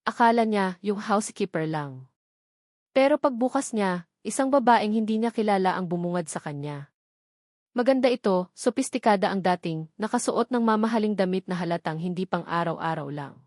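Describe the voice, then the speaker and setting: A woman's voice: medium pitch, lively intonation, normal speed, a little mellow, no room sound, clean studio sound. A woman storyteller reading a scripted romantic drama with dramatic narration.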